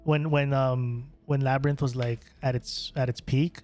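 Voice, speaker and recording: A man's voice: mid-range voice, little pitch movement, normal speed, darkish tone. Young guy from the philippines streaming a shooter, chatty and rambly, lots of ums and restarts, faint music under him. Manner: rambling, answers chat questions with many fillers and restarts. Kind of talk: casual gameplay stream chat.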